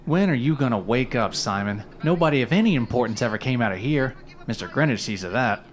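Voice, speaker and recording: An actor playing a skeptical, put-upon hotel co-worker in a period radio drama, dry and needling but timid underneath. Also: male voice, mid-range voice, lively intonation, fairly quick, natural voice.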